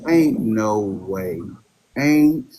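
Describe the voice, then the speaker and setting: A man's voice: lowish voice, animated, drawn-out words, warm tone, dry recording, low-quality recording. A man in his thirties hosting a casual podcast chat in an easygoing way. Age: 30s.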